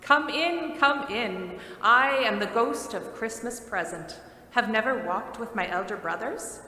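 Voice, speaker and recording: A woman voicing a jovial giant male Christmas spirit in a loud, booming welcome, recorded in an echoey hall. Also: expressive, unhurried. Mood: hearty. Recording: echoey hall, faint noise.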